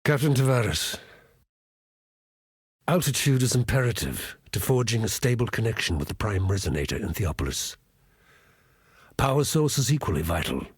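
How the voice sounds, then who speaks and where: A man playing a cold, menacing high templar who dictates formal orders in a game, with a very raspy voice and pompous, precise delivery. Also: no echo, clean studio sound. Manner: pompous, precise, swooping emphasis.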